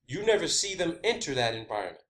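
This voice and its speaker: A man's voice: fairly high pitch, sing-song, quick pace, a bit bright. A man delivering an informal, rambling science-theory monologue, emphatic and sweary, often repeating his phrases. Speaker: online video host.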